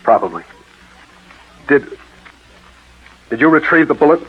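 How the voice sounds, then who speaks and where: High-voiced, dogged police detective. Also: male voice, big pitch swings, a little slow, full character voice. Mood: probing, uneasy. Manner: probing, clipped questions. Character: male police detective, anxious, dogged questioner. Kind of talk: 1940s radio acting. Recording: muffled, rough 1940s radio recording.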